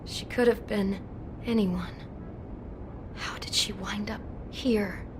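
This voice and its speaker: An actress playing a shaken, compassionate young woman in a video game, speaking in an anxious, halting, emotional way. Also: mid-range voice, fairly even intonation, background noise, lightly acted. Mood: sorrowful. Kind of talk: naturalistic video game voice acting.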